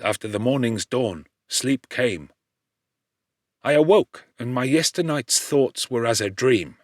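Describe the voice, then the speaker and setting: A man reading literary narration for an audiobook in a measured, formal and dramatic manner. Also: medium pitch, no room sound, studio quality.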